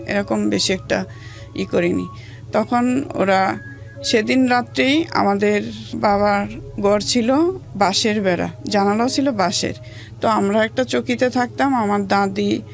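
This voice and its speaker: Creaky-voiced Bangladeshi woman telling her story. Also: mid-range voice. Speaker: interview guest. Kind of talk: personal life story telling.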